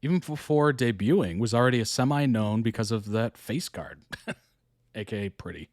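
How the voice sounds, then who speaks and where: A man's voice: medium pitch, expressive, normal speed, music in the background. A man hosting a reaction video, chatting casually and thinking aloud with frequent laughs. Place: hot indoor room.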